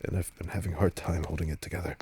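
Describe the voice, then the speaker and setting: A man playing a nervous, tender vampire in an intimate audio roleplay, speaking softly and hesitantly to the listener as he resists his hunger. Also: sits low, little pitch movement, rapid-fire, slight background noise, close to an everyday voice. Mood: nervous, reluctant. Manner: hesitant, stammering, soft-spoken.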